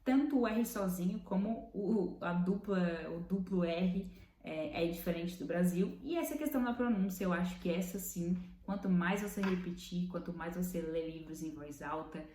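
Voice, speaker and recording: A Brazilian woman with a Brazilian Portuguese accent, casually explaining language points, thinking aloud with fillers and slowing down to spell out examples. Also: lowish voice, warm tone. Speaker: language learning vlogger. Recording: roomy room with faint background noise.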